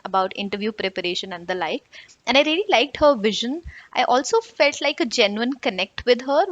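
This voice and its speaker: A woman hosting her channel, thanking a guest and gently encouraging her viewers. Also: a little high, no room sound, lo-fi sound. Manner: warm, appreciative, gently encouraging. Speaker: channel host. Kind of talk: direct-to-camera closing remarks.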